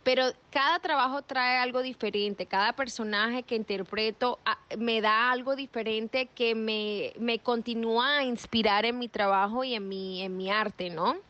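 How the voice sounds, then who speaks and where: Animated young woman, slightly high voice. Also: highs cut off. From Venezuela. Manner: animated, quick restarts and repeats. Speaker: actress guest. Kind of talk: interview answers.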